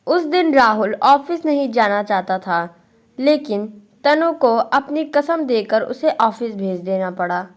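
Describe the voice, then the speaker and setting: A woman's voice: on the high side, lively intonation, slow pace. A woman narrating a scripted moral story for children.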